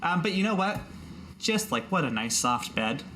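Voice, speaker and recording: A friendly male crewmate from a fantasy tabletop game, acted out by a man, speaks with a bright, clear-edged voice. He is earnest and folksy and rambles as he goes. There is faint noise in the recording. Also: high-pitched, sing-song, moderate pace, pitched above the performer's own voice, full character voice. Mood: upbeat, casual. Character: friendly, chatty, grateful male adventuring crewmate.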